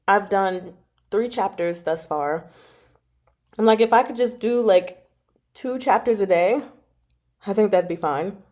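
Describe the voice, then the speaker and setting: A woman chatting casually and informally to her livestream viewers, giggly and animated as she talks. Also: mid-range voice, slow pace, pauses often. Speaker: host of a book club channel and amateur audiobook narrator. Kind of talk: casual livestream chat, reading out and answering comments.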